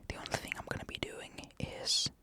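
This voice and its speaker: A young man from Finland with a Finnish accent, answering comments in a casual, matter-of-fact ASMR whisper. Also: fairly quick. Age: young adult. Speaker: ASMR creator. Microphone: USB condenser mic.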